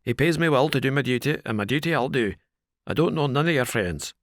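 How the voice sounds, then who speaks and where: A man voicing a gruff, burly former prize-fighter who keeps the door, blunt and rough-spoken. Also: medium pitch, lively intonation, fairly quick, no room sound, clean studio sound, pitched above the performer's own voice, natural voice. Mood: stubborn. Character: gruff burly male ex-boxer doorkeeper.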